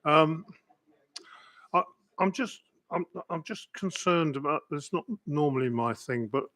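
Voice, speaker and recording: A man's voice: a little high, animated, measured pace, fairly bright tone, no echo. A man with a British accent, a councillor raising a concern in an apologetic, hedging way. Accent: British English.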